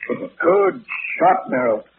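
An actor playing an urbane, teasing gentleman clubman with an arch, dry manner in an old-time radio drama. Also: a little high, animated, takes it slowly, phone-quality sound. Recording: band-limited vintage radio recording, faint noise.

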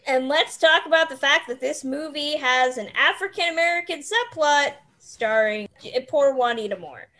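High-voiced woman chatting about films. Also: normal speed, pushed and bright. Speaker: host of a film discussion podcast. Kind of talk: casual film discussion.

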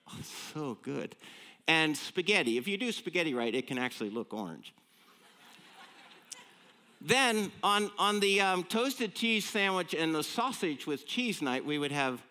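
A man of fifty-nine with an American accent, preaching in a conversational, folksy, humorous storytelling way with rhetorical questions. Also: a little high. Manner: animated, folksy, self-deprecating, punctuated with rhetorical questions.

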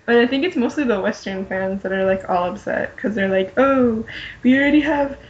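A woman co-hosting a podcast, chatting casually and slangily in an animated fan style. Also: a little high, brisk, dull audio. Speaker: podcast co-host. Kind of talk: casual group chat about pop fandom.

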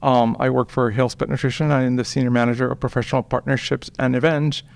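A man, chatting as an interview guest and pausing often with "um.". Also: medium pitch, flat delivery, gravelly. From Puerto Rico. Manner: hesitant, many ums and restarts. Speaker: guest, veterinarian. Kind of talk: casual interview chat.

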